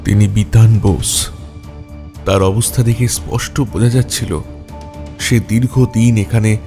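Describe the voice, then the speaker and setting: A man narrating a scripted detective story in a measured way, with dramatic pauses. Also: fairly low pitch, warm tone. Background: background music.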